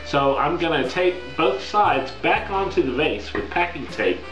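Man in his 40s, workshop host, easygoing how-to delivery, a bit high-voiced, roomy shop sound with guitar music behind. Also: a little slow. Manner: relaxed, plain-spoken, self-deprecating. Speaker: woodworking channel host. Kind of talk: casual how-to narration. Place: home woodworking shop. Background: guitar background music.

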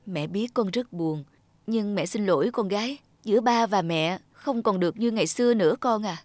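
A woman's voice: medium pitch, normal speed, a little mellow, dry recording, close to an everyday voice. A woman playing an aggrieved, emotional wife and mother in a cartoon drama. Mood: sad, apologetic.

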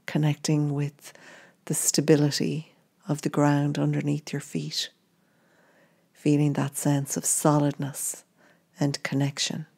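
Female meditation guide. Deep, soft-toned delivery, very measured, with gentle phrase-by-phrase instruction. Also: husky.

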